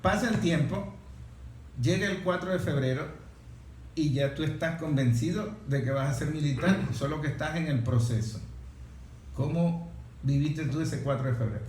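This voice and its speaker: A man's voice: on the high side, normal speed, a little mellow. A man interviewing someone in an informal, conversational way, repeating phrases to press his questions. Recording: echoey, distant mic, faint noise.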